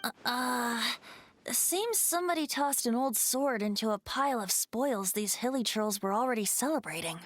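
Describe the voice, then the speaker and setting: A woman's voice: fairly high pitch, animated, moderate pace, fairly bright tone, slight background noise. Woman playing a playful young bard spirit. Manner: lilting, playful storyteller. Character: youthful male wind-spirit bard, playful and wise. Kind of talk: game voice acting.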